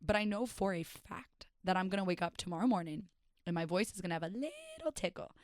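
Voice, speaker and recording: A young woman hosting her own podcast and rambling in a self-mocking, chatty way. Her voice is hoarse and raspy after days of partying. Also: fairly low pitch, very animated, brisk, dry recording, studio quality. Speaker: podcast host and stand-up comedian. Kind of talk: casual solo podcast chat.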